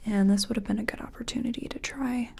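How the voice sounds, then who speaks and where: A woman playing a nervous makeup artist in an ASMR roleplay, speaking in a breathy whisper and murmuring hesitantly to herself as she works. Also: a little high, steady tone, quick pace, warm tone, exaggerated voice. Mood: focused, unsure. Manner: murmured, hesitant self-commentary while working. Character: nervous female makeup artist competing in a contest. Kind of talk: soft-spoken ASMR personal attention roleplay.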